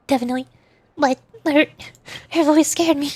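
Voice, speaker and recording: A woman's voice: sits high, fairly even intonation, moderate pace, a little mellow. A young woman streaming a game, chatting playfully with frequent giggles as she comments. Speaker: VTuber streamer.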